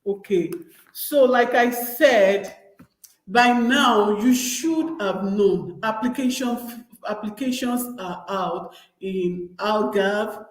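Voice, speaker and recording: A woman's voice: medium pitch, slow, reverberant, poor audio. A young Nigerian woman with a Nigerian accent hosting a casual advice vlog, chatty and talking straight to her viewers, often repeating and restarting her words. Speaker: vlogger.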